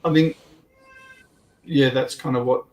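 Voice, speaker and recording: A man's voice: medium pitch, steady tone, a little slow. An Australian man chatting casually on a hobby livestream panel, with hesitant, rambling phrasing. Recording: clear background noise on livestream.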